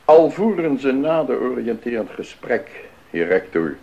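Stern pompous councillor, lively intonation, radio play, dull recording. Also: male voice, medium pitch, unhurried, vocal fry. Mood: stern, officious. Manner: pompous, formal, emphatic. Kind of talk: 1980s Dutch radio play acting.

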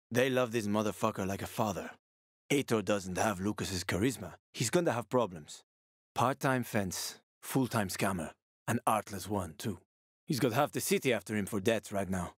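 A man's voice: lowish voice, breathy edge, dry recording, clean studio sound. A man playing a streetwise, wisecracking middle-aged survivor and smuggler in a video game, talking in a sly, casual, teasing way. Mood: conspiratorial, matter-of-fact. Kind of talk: video game voice acting, conversational.

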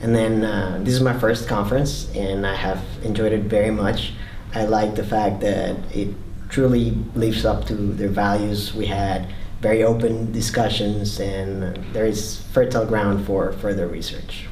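A composed man from Costa Rica talks to camera in a lowish voice. He is picked up from some distance in a roomy space, with a faint hum behind. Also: steady tone, measured pace, a little mellow. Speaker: PhD student. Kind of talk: interview to camera.

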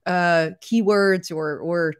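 A woman hosting a relaxed interview from a home studio, speaking into a desk microphone on a video call. Her voice sits a little low. She asks open questions and keeps her guest going with frequent encouraging murmurs. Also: takes it slowly, smooth. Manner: warm encouraging listener, many "mm-hmm" cues. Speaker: interview host. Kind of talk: casual interview chat.

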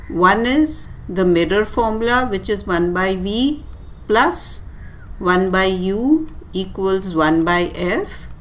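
A woman teacher giving a step-by-step tutorial explanation in a deliberate, instructive way with short, pausing phrases. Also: mid-range voice. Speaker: physics teacher. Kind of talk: step-by-step tutorial explanation over handwritten working.

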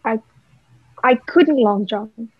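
A woman's voice: a little high, slow pace, husky, no room sound, full character voice. A young woman playing an earnest, defiant boy in a radio play. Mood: reluctant. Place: indoor room, remote video recording. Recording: muffled home remote recording.

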